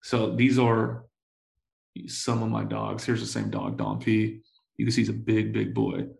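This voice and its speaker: A man in his forties telling stories in a casual interview, full of slang and swearing, excitable and often repeating himself. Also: lowish voice, measured pace, gravelly, roomy sound. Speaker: entrepreneur guest.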